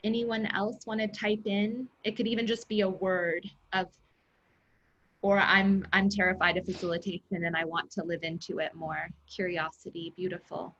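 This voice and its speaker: A woman's voice: lowish voice, level tone, moderate pace, a bit bright. A woman hosting a group session on a video call, encouraging and affirming the participants. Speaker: session host, facilitator. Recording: muffled video-call audio.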